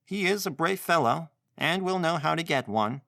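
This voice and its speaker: A man's voice: on the high side, lively intonation, moderate pace, creaky, no echo, pitched above the performer's own voice. A man putting on a cheeky young boy's voice, raised well above his own and bold. He sounds eager and teasing, read close and dry. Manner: bright and laughing.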